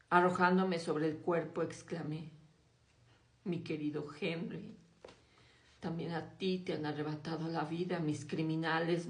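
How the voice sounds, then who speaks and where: A woman reading a classic novel aloud in a slow, hushed, dramatic way, dropping her voice low on grim passages. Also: roomy sound. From Mexico. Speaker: audiobook reader.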